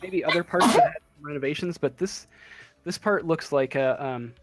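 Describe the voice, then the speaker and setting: A man narrating a tabletop game casually as game master, improvising in a hesitant, halting way. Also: fairly high pitch.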